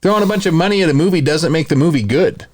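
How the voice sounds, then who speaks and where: A man's voice: on the high side, rapid-fire, no echo. Dry-recorded male podcast co-host chatting. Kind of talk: casual opinionated podcast chat.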